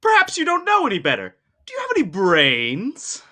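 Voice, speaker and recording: Scarecrow, female actor: simple, friendly, curious, wildly animated and bright, for a children's fantasy read. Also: fairly high pitch, moderate pace, full character voice. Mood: earnest. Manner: earnest, inquisitive. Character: friendly, simple-minded straw-stuffed scarecrow. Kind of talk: live table read of a children's fantasy story.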